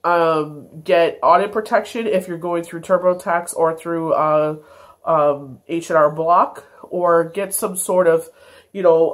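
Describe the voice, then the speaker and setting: A congested woman giving a casual daily tarot reading, meandering with many fillers and self-corrections. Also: lowish voice. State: congested, stuffy nose. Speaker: tarot reader.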